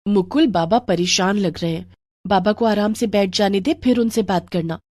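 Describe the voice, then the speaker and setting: A woman voicing a caring, responsible young daughter in a moral story, gentle and reassuring. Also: medium pitch, normal speed, no room sound, studio quality, lightly acted. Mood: concerned, reassuring. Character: caring, responsible young daughter looking after her family.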